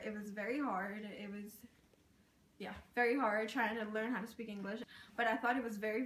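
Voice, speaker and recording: A woman's voice: medium pitch, fairly bright tone, a little hiss or hum. A young woman from Burma, raised in Thailand, whose first language is Karen, casually telling personal stories with lots of "like" and "um". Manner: chatty, with many "like" and "um" fillers. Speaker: interviewee sharing her experience. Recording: roomy, distant mic.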